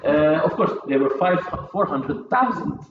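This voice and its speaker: A man talking casually at a table, halting and restarting his sentences often. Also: fairly high pitch, lively intonation. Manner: halting, many restarts and repeated words. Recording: echoey, dull room sound with faint noise.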